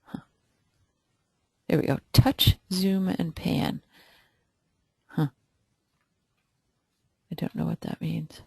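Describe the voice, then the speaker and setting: A webinar instructor answering viewer questions during a live software demo, hesitant and thinking aloud with many pauses. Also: medium pitch, fairly even intonation, vocal fry, husky, no room sound.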